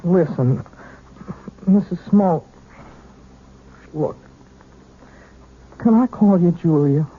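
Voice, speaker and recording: An actor playing a moody, self-deprecating, lovesick young piano student in a radio drama, halting and earnest. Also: male voice, high-pitched, slightly rough, narrow, tinny audio, full character voice. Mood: earnest, yearning. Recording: thin, band-limited old radio recording.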